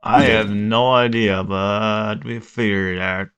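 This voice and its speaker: A man's voice: fairly low pitch, steady tone, slow, dull audio, heavy background noise. A man streaming a game, giving casual, laconic and understated reactions. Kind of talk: casual reactions over gameplay. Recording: loud game music behind.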